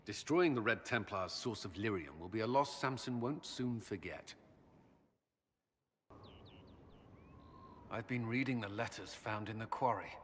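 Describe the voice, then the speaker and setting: Actor as grave, bright-edged low-voiced male commander. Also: lightly acted. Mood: disturbed, resolute. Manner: earnest, measured, formal. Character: grave, duty-bound male military commander, weary former knight. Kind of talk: dramatic video game cutscene acting. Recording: hall reverb with background noise.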